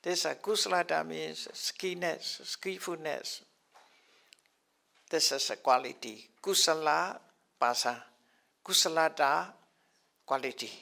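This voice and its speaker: An older man who is not a native English speaker teaches scriptural terms to a class in a halting way, repeating words and chuckling often. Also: on the high side, gravelly, bright, forceful tone. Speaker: Buddhist teacher.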